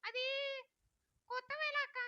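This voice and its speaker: A performer voicing a cheeky, mischievous little boy puppet in a squeaky childlike voice for a children's puppet show. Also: steady tone, measured pace, pushed and bright, lo-fi sound, full character voice. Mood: excuse-making.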